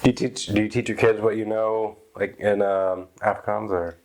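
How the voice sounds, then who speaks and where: A man hosting a casual interview, asking halting, stumbling questions and laughing along. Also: on the low side, steady tone, darkish tone. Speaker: podcast host.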